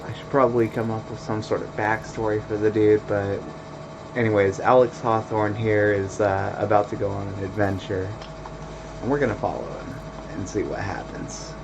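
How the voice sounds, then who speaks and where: A man streaming a video game with casual, laid-back commentary in a monotone voice, full of fillers. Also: lowish voice, moderate pace, creaky, background noise. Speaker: let's play streamer.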